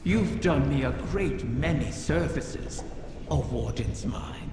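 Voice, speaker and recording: A woman's voice: deep voice, lively intonation, vocal fry, full character voice. A woman voicing a sly, ancient female wish-dragon spirit in a video game, speaking theatrically with cryptic, silky taunts. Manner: slow, theatrical, silkily taunting. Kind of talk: dramatic video game dialogue. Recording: echoing long reverb, noisy game ambience.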